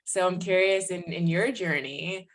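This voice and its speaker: Woman podcast host, low register, very unhurried delivery, earnest and reflective. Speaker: podcast host interviewing a guest. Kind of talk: reflective interview question.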